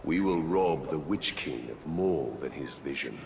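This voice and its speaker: An actor playing a grim, determined ranger hero in a video game, serious and brooding. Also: male voice, very deep voice, expressive, unhurried, noisy background, full character voice. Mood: grim resolve. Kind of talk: video game cutscene acting.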